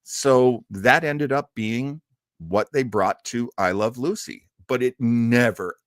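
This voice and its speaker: A man hosting a casual live talk in a chatty, halting way with wry asides. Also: medium pitch, dry recording, clean studio sound. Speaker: host. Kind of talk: casual live-stream talk on old radio comedy.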